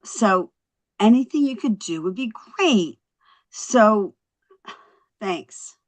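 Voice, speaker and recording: A woman at a script table read playing a quick-witted young housewife, chatty, coaxing and wry. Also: medium pitch, expressive. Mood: polite, persistent.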